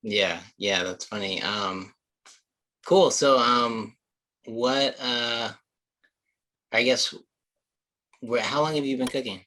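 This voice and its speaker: A man's voice: mid-range voice, slow pace, pauses often, bright, forceful tone, low-quality recording. A man in his thirties hosting a casual podcast interview, chatting in an informal, slangy way. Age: 30s. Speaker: podcast host.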